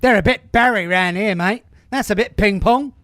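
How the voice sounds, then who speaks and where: A man's voice: sits high, moderate pace, a bit bright, no room sound, clean studio sound. A man playing a chirpy male London bus conductor with a put-on Cockney accent and rhyming slang, in an amateur radio play. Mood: chatty.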